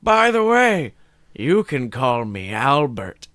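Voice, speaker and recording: A man's voice: mid-range voice, sing-song, slow pace, fairly bright tone, no echo, studio quality. A man voicing a flamboyant, cheerful old headmaster who wants to be goth, in an exclamatory comic reading. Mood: happy, showy.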